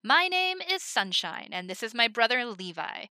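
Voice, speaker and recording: A woman voicing an exuberant young woman soldier who plays a booming showman for a crowd. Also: very animated, moderate pace, pushed and bright, no room sound, clean studio sound. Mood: showy. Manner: booming, theatrical barker delivery.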